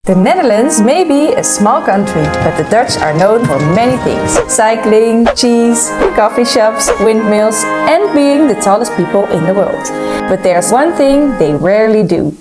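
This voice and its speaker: A woman's voice: fairly high pitch, animated, unhurried, over music. A woman narrating a short documentary in a scripted style.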